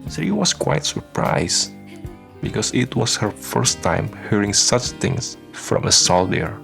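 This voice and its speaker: A man reading scripted narration for a radio drama, with soft guitar music behind him. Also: fairly low pitch, lively intonation, slow pace, creaky.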